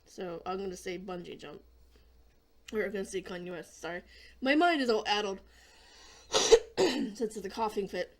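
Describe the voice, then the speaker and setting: A hoarse woman hosting a casual gaming video, chatting in a rambling way and often clearing her throat. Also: medium pitch, moderate pace, long pauses. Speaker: gaming channel host.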